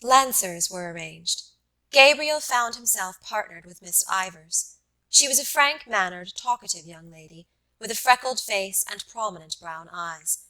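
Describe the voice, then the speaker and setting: A woman narrates literary fiction for an audiobook. Her voice is bright and mid-pitched. She reads in a measured, carefully enunciated manner. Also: expressive, poor audio.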